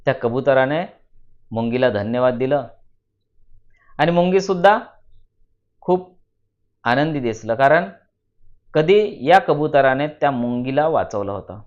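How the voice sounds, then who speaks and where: A man from narrates a children's moral story in a deliberate, teacherly way, repeating phrases for emphasis. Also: mid-range voice, lively intonation. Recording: dull lo-fi voice recording.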